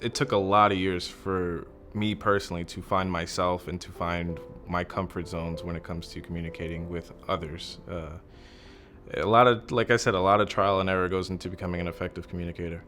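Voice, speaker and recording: A man's voice: low voice, fairly even intonation, normal speed. A man with a New York accent, a student in an interview testimonial, thoughtful and a little nervous. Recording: soft music underneath. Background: background music.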